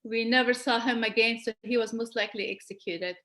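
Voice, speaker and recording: A woman's voice: fairly high pitch, fairly even intonation, fairly quick, a bit bright. A woman in her forties, born in Afghanistan, giving an earnest presentation over a video call with small restarts. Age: 40s. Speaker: guest presenter. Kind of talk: webcast presentation.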